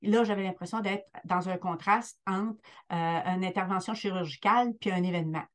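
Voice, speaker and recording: An older woman with a Quebec French accent recalls her path in an interview. She pauses often and fills gaps with soft hesitations, at a middling pitch. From Quebec, Lower St. Lawrence. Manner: hesitant, many fillers. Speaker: guest midwife. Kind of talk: reflective interview storytelling.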